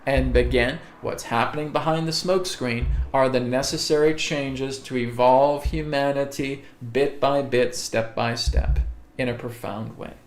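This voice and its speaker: A man hosting a live spiritual webcast, answering viewer questions slowly and deliberately and often checking in with "okay?". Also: mid-range voice, fairly even intonation. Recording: echoey room.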